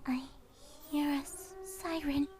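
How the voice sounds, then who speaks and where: A woman voicing a timid, gentle young female cartoon pony, soft and hesitant, in a dramatized story reading. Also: high-pitched, steady tone, a little slow, a crowd in the background. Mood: anxious, hesitant. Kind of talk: dramatized fan fiction reading. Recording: music behind the voice.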